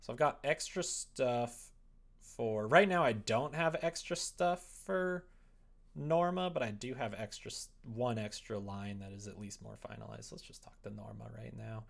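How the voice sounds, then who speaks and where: A man's voice: medium pitch, unhurried, vocal fry. A young man streaming, talking casually and playfully in a jokey way as he comments and reads aloud. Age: young adult. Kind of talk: casual livestream chat and reading aloud.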